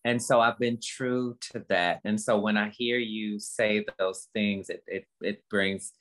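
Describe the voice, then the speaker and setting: A woman in her fifties talking candidly as a guest, earnest and halting, often repeating phrases for emphasis. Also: rumbling low, fairly even intonation. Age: 50s. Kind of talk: candid conversation, motivational talk.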